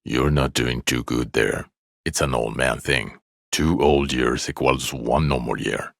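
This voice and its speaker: A very deep, gravelly jaded detective. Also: male voice, a little slow, no room sound, studio quality, exaggerated voice. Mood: weary, grudging. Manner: weary, blunt, deadpan sarcasm with profanity. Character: jaded, bitter, sardonic male police detective. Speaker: video game voice actor. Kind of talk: dramatic video game dialogue.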